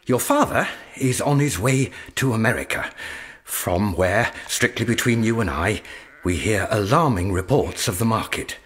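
A kindly old clerk in a radio play, fussy and formal. His lowish voice is very husky and faltering, with lively rises and falls. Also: moderate pace. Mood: anxious, affectionate. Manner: formal, faltering, letter-writing delivery. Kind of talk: radio drama acting.

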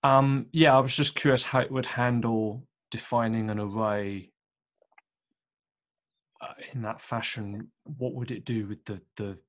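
A man taking part in an online lesson, sharing an observation and asking a question in a halting, thoughtful way. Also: medium pitch, steady tone. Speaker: tutorial participant. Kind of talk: casual question on a video call. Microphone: video call microphone.

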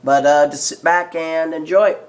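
A man with a hoarse, lost voice and a cough, giving rambling casual commentary on a video game. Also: on the high side, fairly even intonation, normal speed. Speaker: gaming video host. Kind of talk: let's play commentary.

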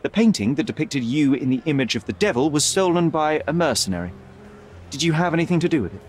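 Casting: young detective in a video game, slightly high voice, animated inflection, cold, contemptuous, formal. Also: male voice, fast talker. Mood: sardonic, accusing. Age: young adult. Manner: accusatory, clipped, formal, sardonic. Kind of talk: video game cutscene acting.

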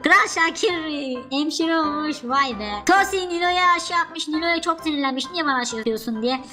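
A woman giving playful, informal running commentary on cartoon skits, with loud music behind her. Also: high voice, normal speed. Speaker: narrator.

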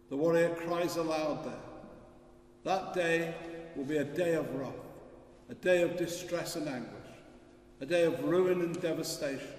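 An older man giving a solemn, measured, formal scripture reading at a church service. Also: on the high side, darkish tone. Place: large stone church, at a lectern. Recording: echoey church, distant mic, hum.

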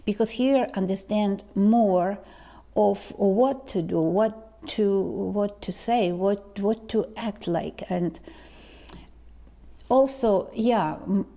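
A woman, talking slowly to camera as a tarot reader, pausing and restarting often. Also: mid-range voice, warm tone. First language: Ukrainian or Russian. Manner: slow, halting, many restarts and ums. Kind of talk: casual vlog talk to camera. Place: living room indoors. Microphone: lapel mic.

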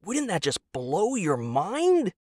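Audio drama role: dim, wide-eyed husband, performed by a male narrator, eager and rambling, raised pitch, close dry sound. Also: huge pitch swings, slow, darkish tone, no room sound, heavily acted. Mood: eager, excited. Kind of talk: audio drama character dialogue.

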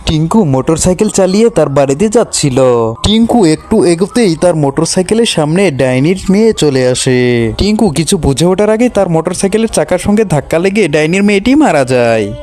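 A man with a high-pitched voice narrates a scripted cartoon tale for children. Music plays faintly beneath him. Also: expressive, fairly quick, darkish tone. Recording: music behind the voice.

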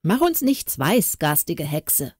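A woman voicing a cheeky young kitchen boy, mocking and quick. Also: medium pitch, big pitch swings, warm tone, no echo, studio quality, pitched above the performer's own voice, close to an everyday voice. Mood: jeering.

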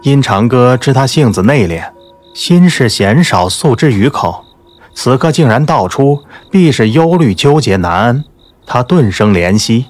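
Guy reading a novel, literary narration, middle pitch, soft music behind. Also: expressive, unhurried. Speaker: audiobook narrator.

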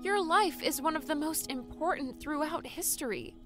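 A woman's voice: sits high, expressive, moderate pace, music in the background. A woman playing an earnest, encouraging young woman in a dramatised audiobook. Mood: earnest, reassuring. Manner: earnest, reassuring.

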